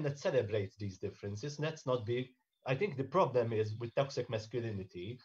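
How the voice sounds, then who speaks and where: A man in his thirties on a video call in a panel discussion, explaining in a measured way and often checking in with "okay?" and "right?". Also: fairly low pitch, darkish tone, lo-fi sound. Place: home room indoors. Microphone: webcam on a video call.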